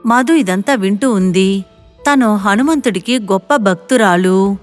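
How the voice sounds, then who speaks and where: A woman delivers scripted story narration as the narrator. Her voice sits at a middle pitch, and music plays clearly behind her. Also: unhurried.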